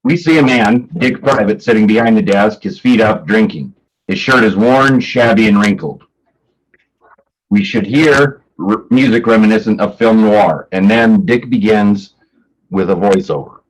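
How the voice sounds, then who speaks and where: A man's voice: lowish voice, unhurried, rough recording. A man presenting a staged play reading as announcer and stage directions reader, plain and matter-of-fact. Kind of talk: scripted narration of stage directions.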